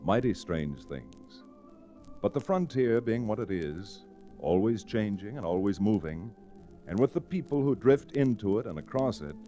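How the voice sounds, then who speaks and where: Radio Western lead: genial American frontier lawyer narrating, lowish mellow male voice, very expressive, folksy. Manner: folksy, unhurried storytelling. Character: easygoing, genial male frontier lawyer telling his tale. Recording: restored vintage radio recording.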